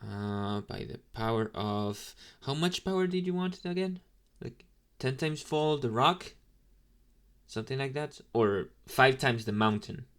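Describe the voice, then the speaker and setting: A man playing an inexperienced, sleepy young demon in an ASMR roleplay, talking casually to the listener with halting, deadpan, mildly snarky asides. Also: medium pitch. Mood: distracted, businesslike.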